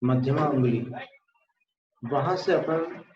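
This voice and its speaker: A man with an Indian accent leading a guided meditation, speaking calmly and deliberately with pauses. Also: mid-range voice, slight background noise. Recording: echoey room, dull muffled sound.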